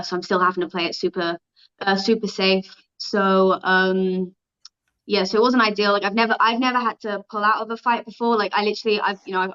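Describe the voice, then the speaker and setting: A young woman from Wales speaks in a Welsh accent with an American edge. Her voice is smooth and clear, and she answers casually, leaning on "like" and quick restarts. The audio is slightly dull, like a lo-fi video call. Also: medium pitch, a bit bright. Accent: Welsh with an American twang. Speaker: guest, pro fighter. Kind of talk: interview answers.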